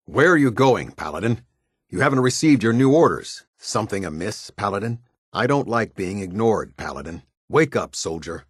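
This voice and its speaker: Stern American military captain for a game: commanding, formal, animated intonation, dry close sound. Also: male voice, lowish voice, husky, dry recording, heavily acted. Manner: clipped, formal, measured military delivery. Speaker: military captain giving briefings. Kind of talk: scripted video game dialogue.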